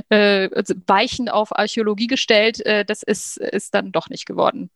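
A woman's voice: mid-range voice, steady tone, normal speed, creaky, no room sound, studio quality. A woman guest in an interview, talking about her work with frequent fillers and small laughs. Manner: hesitant, many fillers. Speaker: guest, program coordinator. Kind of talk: interview answers.

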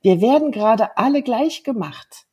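A woman in her fifties, a native German speaker, talking earnestly and thoughtfully in a casual conversation, often pausing with fillers. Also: on the high side, expressive, mellow, breathy edge. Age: 50s. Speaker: guest.

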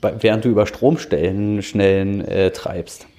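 A man's voice: lowish voice, unhurried, dark tone. A man from Berlin in his thirties, an angler talking casually as a podcast guest, upbeat and a bit rambling, laughing often. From Germany, Berlin.

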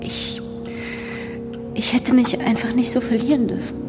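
Slow, hesitant young policewoman, played by an actress. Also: a little high, flat delivery, music in the background, lightly acted. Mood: remorseful, hesitant. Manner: hesitant, halting. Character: young female undercover officer, guilty and unsure of herself. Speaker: actor in fiction podcast. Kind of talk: naturalistic German audio drama acting.

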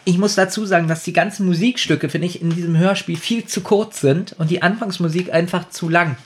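A man's voice: sits high, steady tone, a little fast, slight background noise. A man with a slightly raised voice chats casually as a podcast co-host. He jokes at his own expense and sounds a little congested from a cold. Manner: joking, self-mocking. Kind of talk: casual chat.

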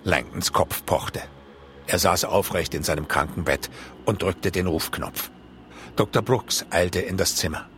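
A man's voice: low voice, measured pace, a bit bright, slight background noise. A man reading scripted thriller narration for an audiobook in a dramatic style.

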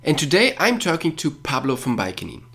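A man's voice: on the high side, wildly swooping pitch, brisk, creaky, fairly bright tone. A man hosting a podcast interview, asking friendly questions with fillers and repeated words. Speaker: podcast host.

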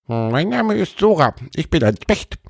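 A man voicing a cheerful, chatty little male woodpecker for a children's storybook, in a creaky, squeezed voice with bubbly, colloquial delivery. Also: fairly high pitch, big pitch swings, measured pace, no room sound, studio quality, voice pushed up, heavily acted. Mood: cheeky, greeting.

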